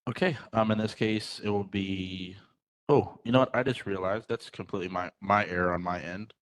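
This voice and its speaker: A man's voice: lowish voice, steady tone, normal speed. A man running a roll-call vote at a public meeting, apologetic and self-correcting.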